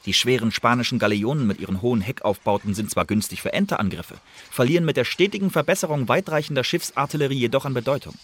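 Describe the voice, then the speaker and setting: An actor in a radio play playing a secret agent who delivers an intelligence report in a formal, measured manner. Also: male voice, medium pitch, slightly rough, lightly acted. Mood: businesslike.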